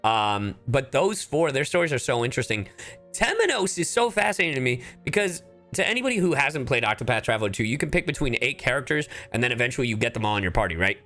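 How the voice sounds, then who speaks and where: Animated, sweary male game streamer. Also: mid-range voice, quick pace. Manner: animated, sweary, tangent-prone. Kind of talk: casual chat, live game commentary. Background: game music.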